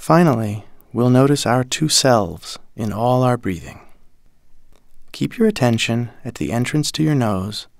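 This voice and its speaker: A man with a mid-pitched voice leads a guided meditation. He gives each instruction calmly and deliberately, like a teacher. The sound is dry, with no room echo. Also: creaky.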